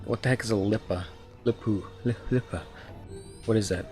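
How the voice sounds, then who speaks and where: A young man with a lowish voice half-whispers casual commentary to his stream chat as he plays. He keeps up a soft breathy delivery with mouth noises while his throat wears sore. Faint game music plays under his voice. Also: moderate pace. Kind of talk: casual gaming commentary, trying ASMR-style whispering.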